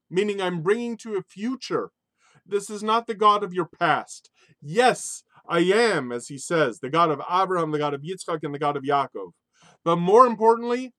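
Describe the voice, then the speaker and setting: A man giving a spoken Torah lesson in an animated, emphatic way, mixing Hebrew religious terms into English. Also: a little high, dry recording. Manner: animated, emphatic, rises sharply on key points. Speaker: Torah teacher.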